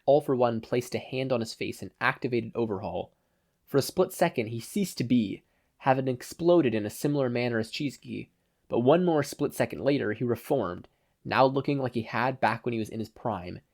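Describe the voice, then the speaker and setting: A man narrating a scripted story as an audiobook-style reader. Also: mid-range voice. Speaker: podfic narrator.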